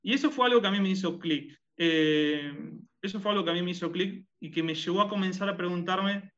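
Rioplatense male guest, bright, slightly high voice, halting, lots of fillers, dull lo-fi sound. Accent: Rioplatense Spanish. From Argentina and Uruguay. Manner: hesitant, many fillers and restarts. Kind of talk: conversational interview.

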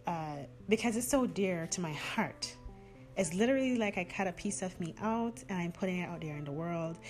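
A woman hosts her own podcast alone, speaking in a lower register than most women. Her delivery is earnest and heartfelt as she reflects and affirms her beliefs. Faint music sits underneath her voice. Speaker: podcast host. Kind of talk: solo reflective podcast talk.

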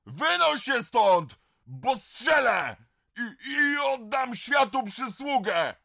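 Zdenerwowany, chropawy, podniesiony męski głos. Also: male voice, very high voice, big pitch swings, takes it slowly, creaky, sharp tone, exaggerated voice. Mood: angry threatening. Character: frightened but defiant shopkeeper husband standing up to bully. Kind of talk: radio drama acting.

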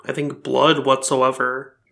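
A man's voice: medium pitch, little pitch movement, slow, vocal fry, pushed and bright. A man in a casual fan discussion as a podcast co-host, reasoning things out in an explanatory way.

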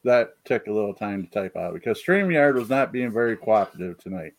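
Halting male podcast host. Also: fairly low pitch, expressive. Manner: halting, many fillers, reads chat comments. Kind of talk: casual livestream chat. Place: home, rural.

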